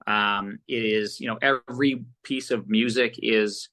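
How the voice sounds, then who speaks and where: Bright, forceful man telling stories. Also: lowish voice, measured pace. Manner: animated rambling anecdotes, often quoting conversations. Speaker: interview guest, audio drama producer. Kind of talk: casual conversational storytelling.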